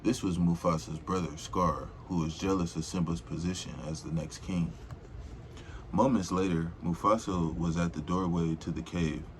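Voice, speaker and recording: A man's voice: low-pitched, steady tone, moderate pace, slight background noise. A man reading a children's storybook aloud in an informal, rambling way, with casual slangy asides.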